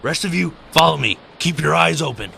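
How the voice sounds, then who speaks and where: A man's voice: a little high, animated, fairly quick, vocal fry, bright, forceful tone, slight background noise, full character voice. A man playing a stern, commanding American army captain in an amateur World War II radio drama, speaking in dry, clipped orders.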